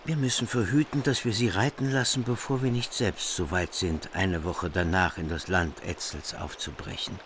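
An actor playing a proud, stern warrior vassal who speaks resolutely. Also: male voice, sits low, steady tone, moderate pace, hardly any pauses, fairly bright tone, a little hiss or hum. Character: grim, proud warrior vassal, hard and defiant. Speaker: actor in radio drama. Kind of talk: formal radio play acting.

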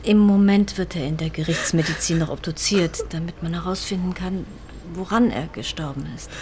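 An actress playing a composed, considerate police detective in a naturalistic crime drama. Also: female voice, lowish voice, moderate pace, a little mellow, a little hiss or hum, natural voice. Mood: gentle, official.

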